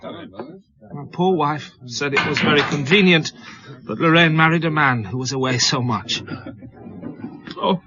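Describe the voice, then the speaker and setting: A man's voice: a little high, unhurried, long pauses, highs cut off, noisy background, natural voice. An actor playing a self-pitying, drunken widowed father in a radio drama, despairing and apologetic, with slurred, maudlin delivery.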